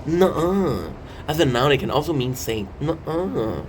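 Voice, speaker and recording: A man's voice: fairly high pitch, sing-song, unhurried. A man in his thirties hosting a casual, rambling vlog, reading and commenting with jokey asides and breaking into his own sentences. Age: 30s. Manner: jokey, self-interrupting, playful asides. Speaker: video host. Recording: clear background noise.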